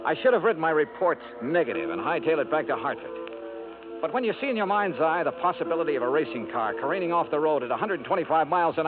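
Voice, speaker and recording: A man with an American accent playing a dogged, wry insurance investigator in a radio noir drama, with clipped, dry delivery. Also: on the high side. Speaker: lead detective and narrator. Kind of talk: 1950s radio noir acting. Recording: tinny old radio broadcast, music behind.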